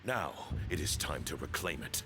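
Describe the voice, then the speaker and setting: An actor playing a resentful old desert temple leader in a video game, speaking in a grave, formal, deliberate way. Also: male voice, extremely low pitch, expressive. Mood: solemn, insistent. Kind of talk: dramatic video game voice acting. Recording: voice over prominent background music.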